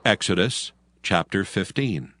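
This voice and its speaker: Man, lowish voice, solemn dramatized Bible narration, animated pitch, music underneath. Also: unhurried, slight background noise. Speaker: scripture narrator.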